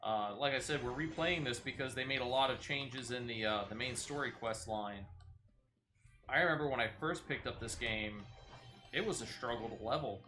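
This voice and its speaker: A man's voice: medium pitch, moderate pace, pushed and bright, a little hiss or hum. A man who streams games, chatting casually and conversationally with a viewer while he plays. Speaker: game streamer. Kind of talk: casual live-stream chat while playing a game.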